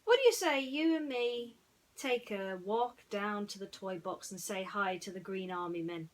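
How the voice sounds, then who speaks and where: A woman's voice: medium pitch, animated, a little slow, lightly acted. Storybook toy cowboy played by a woman: male, friendly, concerned for his pal, lifted and emphatic delivery. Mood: encouraging.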